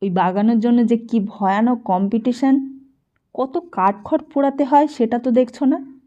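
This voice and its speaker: A woman's voice: on the high side, normal speed, mellow, dull audio, natural voice. A woman reading the part of an ambitious, earnest young businessman and suitor in an audiobook.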